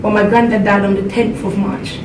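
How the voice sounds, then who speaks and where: A woman's voice: little pitch movement, fairly quick. Earnest woman speaker in an echoey hall. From Jamaica. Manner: earnest, laughs along with the audience. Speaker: motivational speaker. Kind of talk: motivational seminar talk. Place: seminar room with an audience. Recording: echoey hall, distant mic, background rumble.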